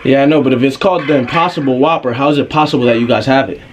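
A young man in his twenties talks casually, as if on a prank call. His voice sits at a middle pitch and his way of talking is slangy and mock-earnest. He is recorded in a bedroom on a camera mic, with faint noise behind him. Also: racing. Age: 20s. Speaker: YouTube prankster. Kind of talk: casual prank phone calling. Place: bedroom, indoors. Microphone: camera mic at about arm's length.

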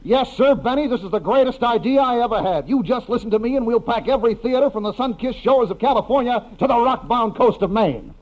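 A man's voice: very high-pitched, fairly quick, hardly any pauses. An American actor playing a brash, boastful publicity man with bombastic patter in a 1950s radio comedy. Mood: excited, pushy. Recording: dull vintage radio recording.

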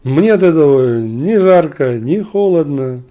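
A man's voice: on the high side, animated, unhurried, mellow, lo-fi sound. A man from Ukraine arguing on a video chat stream, blunt and mocking with sarcastic asides. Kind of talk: argumentative online video chat.

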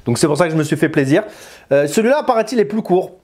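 A man's voice: on the high side, lively intonation, fast talker, darkish tone. A man, talking casually and informally to camera as a video game reviewer, using slang. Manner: informal, slangy, chatty, gesturing. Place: small indoor room with bookshelves.

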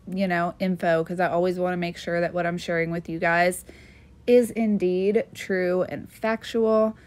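A young woman from Maryland chatting earnestly to camera as a nail channel host, a bit sniffly from allergies. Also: on the low side. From Maryland, USA. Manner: chatty, earnest, with frequent fillers. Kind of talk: casual chat to camera.

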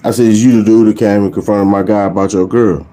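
A man's voice: lowish voice, fairly even intonation, fast talker, mellow. A middle-aged American man talking as a streetwise prank caller, confronting someone and repeating himself, recorded on a phone at arm's length. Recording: noisy phone-camera recording.

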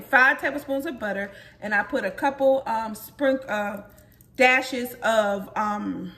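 A woman's voice: a little high, sharp tone. A woman in her forties cooking at home for a casual vlog, chatting to viewers as y'all. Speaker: cooking vlogger, mother. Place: home kitchen, indoors. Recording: kitchen noise, frying sizzle. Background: frying and dish clatter.

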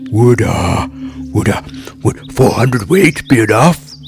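A man plays a big-appetited, friendly storybook bear who talks very slowly. He is greedy and nosy, stumbling over repeated words, with clear music playing behind him. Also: fairly low pitch, very animated, full character voice. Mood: anxious, greedy. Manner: slow, halting, repeats words eagerly. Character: greedy, inquisitive, slow-witted but friendly male bear. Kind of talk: children's storybook character voice.

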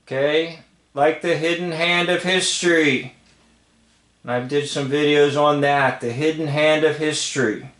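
Slow, high-pitched man in a roomy space. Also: a bit bright. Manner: emphatic, repeats phrases, checks in with "okay?". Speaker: commentator. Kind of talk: off-the-cuff commentary over old film footage. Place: indoor room, filming a screen. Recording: roomy, echoing home recording.

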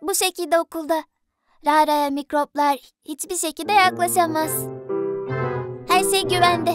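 A performer voicing an excitable, cute, earnest little girl in a dubbed children's cartoon. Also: extremely high pitch, measured pace, music in the background. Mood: earnest, proud. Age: child character. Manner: speaks of self in third person, exclaiming.

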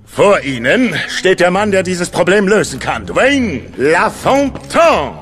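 A pompous cartoon exterminator speaks in a slightly high voice. He swaggers through big pitch swings, self-important, with faint music behind. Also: sing-song, slow, fairly bright tone, exaggerated voice. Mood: boastful. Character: pompous, swaggering male pest exterminator villain.

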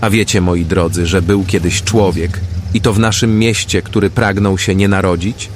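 A man's voice: deep voice, steady tone, normal speed, a little hiss or hum, close to an everyday voice. A man acting a folksy storyteller who narrates an audio tale, addressing his dear listeners directly. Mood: fond, inviting.

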